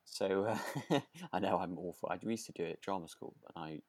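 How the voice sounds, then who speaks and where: A man's voice: sits low, a little fast, darkish tone, no echo. A man co-hosting a podcast in casual chat, rambling along in a hedging, chuckly way. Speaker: podcast co-host. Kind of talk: casual chat reviewing a radio drama.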